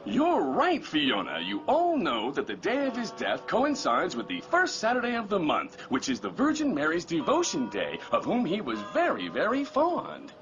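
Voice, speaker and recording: A man voicing a devoted, sentimental male pigeon in a dubbed cartoon, with earnest, animated delivery. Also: sits high, moderate pace, hardly any pauses, exaggerated voice. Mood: earnest, fond. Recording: muffled lo-fi audio with loud music.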